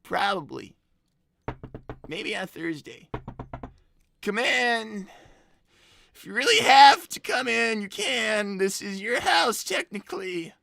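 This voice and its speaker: Someone voicing an exasperated, creaky young cartoon fox boy. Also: mid-range voice, very animated, takes it slowly, pauses often, sharp tone, full character voice. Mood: resigned. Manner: stammering, exasperated, argumentative. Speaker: host of a live character stream. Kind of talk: improvised in-character chat, reading donations.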